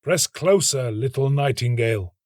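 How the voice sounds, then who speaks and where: A man's voice: mid-range voice, lively intonation, normal speed, a little mellow, dry recording, clean studio sound, close to an everyday voice. Man voicing an urgent, commanding storybook tree.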